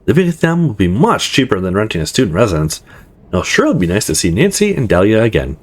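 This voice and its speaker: A man with a slightly husky voice reading a visual novel story aloud in an expressive first-person narration. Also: lowish voice, fast.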